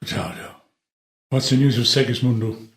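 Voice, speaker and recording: A man's voice: medium pitch, flat delivery, moderate pace, close to an everyday voice. An actor playing a grave, proud old king, declaiming solemnly in a classical drama reading. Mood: resolute. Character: grave, proud old king lamenting his ruined realm. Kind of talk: unrehearsed table read of classical verse drama. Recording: echoey room.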